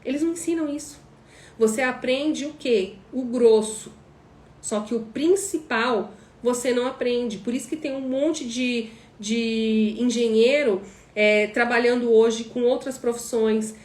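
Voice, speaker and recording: A woman's voice: on the high side, steady tone, measured pace, darkish tone. Emphatic Brazilian woman mentor in echoey room. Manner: emphatic, repeats points, asks rhetorical questions. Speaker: online course mentor. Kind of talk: live stream teaching chat.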